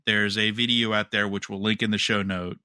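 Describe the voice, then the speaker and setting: A man co-hosting a fan podcast, talking casually and a bit haltingly as he thinks aloud. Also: fairly low pitch, level tone, sharp tone, clean tone, no echo, clean studio sound. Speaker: podcast co-host.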